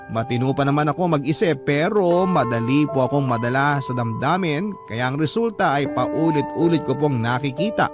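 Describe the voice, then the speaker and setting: A man's voice: mid-range voice, close to an everyday voice. An actor narrating as a lovelorn man confessing a forbidden love in an earnest, respectful letter for radio drama.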